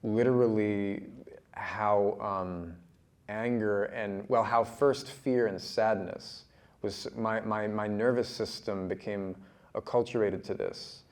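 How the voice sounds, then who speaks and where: A man facilitating a group discussion who muses haltingly, with many restarts and fillers. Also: fairly low pitch, fairly even intonation. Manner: halting, full of restarts when musing.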